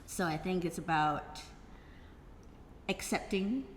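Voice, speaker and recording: A middle-aged woman talks in an interview with a very low voice and an unhurried, very slow pace. She is reflective and measured, recorded in a reverberant hall with faint noise. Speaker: stage play cast member. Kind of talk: casual cast interview.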